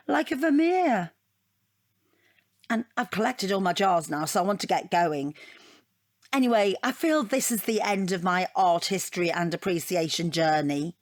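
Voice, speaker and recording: A woman's voice: medium pitch, lively intonation, a little slow, dry recording, lightly acted. Woman acting as art student lady in a comedy audio play, rambly, defensive, blunt, very dry close recording. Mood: earnest, defensive. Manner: rambling, matter-of-fact, self-justifying. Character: opinionated, defensive female adult-education art student, flippantly frank. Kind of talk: comic audio drama acting.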